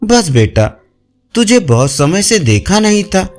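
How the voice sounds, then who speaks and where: A man's voice: high-pitched, big pitch swings, measured pace, faint music behind. A man voicing a proud, affectionate older village father in an audio story, plain-spoken and fatherly.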